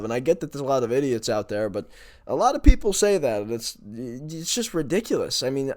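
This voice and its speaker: A man co-hosting a sports podcast, giving opinions in an exasperated, emphatic and insistent manner. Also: medium pitch.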